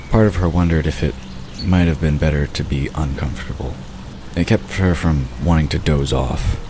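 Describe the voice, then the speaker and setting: A man narrates a fantasy audio drama in a calm, measured delivery. His voice is extremely low and deep. A clear background hiss runs under the recording.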